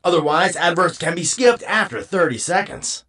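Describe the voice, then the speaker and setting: A woman's voice: fairly low pitch, expressive, moderate pace, a bit bright. A woman voicing an impassive automated livestream announcement system in an audio drama. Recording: distant-sounding, faint music behind.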